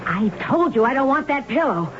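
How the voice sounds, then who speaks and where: Scornful, bitter wife in radio drama. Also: female voice, high voice, big pitch swings, moderate pace. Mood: bitter defiance. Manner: biting, dismissive. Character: scornful, embittered wife, defiant and sharp-tongued. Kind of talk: 1970s radio drama acting. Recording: dull, noisy vintage radio broadcast.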